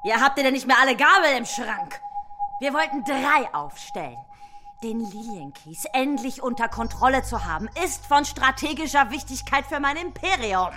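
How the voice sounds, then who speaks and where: A woman's voice: high voice, lively intonation, moderate pace, music in the background. A woman playing a ruthless, pompous business boss, the haughty and scolding villain of a German children's radio drama. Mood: haughty, irritated.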